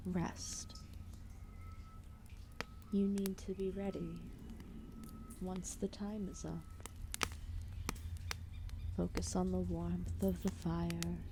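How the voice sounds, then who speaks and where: A woman voicing an ancient, lethargic serpent goddess, protective and calmly menacing, in a formal, soothing, intimate ASMR roleplay with whispered phrases. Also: fairly low pitch, gravelly, background noise, exaggerated voice. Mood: soothing, drowsy. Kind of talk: ASMR roleplay, intimate soft-spoken acting.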